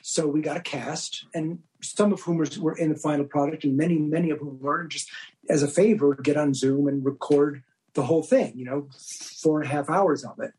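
Man, fairly high voice, husky, discursive, explanatory interview guest. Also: fairly even intonation, a little fast, a little mellow, poor audio. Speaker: podcast guest, audio drama director. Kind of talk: conversational interview answers.

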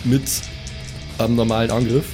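A man improvises as a player in a tabletop game. He talks casually and hesitantly, with plenty of slang, in a mid-range voice. Guitar music is clearly audible behind him. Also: medium pitch, level tone, moderate pace. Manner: slangy, hesitant thinking aloud.

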